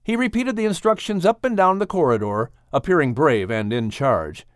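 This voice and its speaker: A male audiobook narrator tells an adventure story with theatrical expression. His pitch swings in a very lively way, and the sound is dry and close, with no room to it. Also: normal speed, no room sound. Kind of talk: dramatic scripted storytelling.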